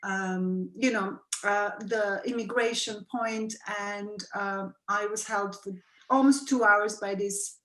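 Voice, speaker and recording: A woman's voice: medium pitch, roomy sound, rough recording. A woman from Serbia, an artist, talking eagerly in a casual conversation over a video call. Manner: excitable, quick to jump in.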